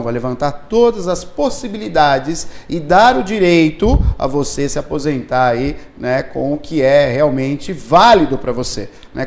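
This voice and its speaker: A man's voice: fairly high pitch, darkish tone. A man hosting a live local TV talk show, promoting a sponsor and urging viewers to phone in. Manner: promotional, prompts viewers to call. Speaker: TV host.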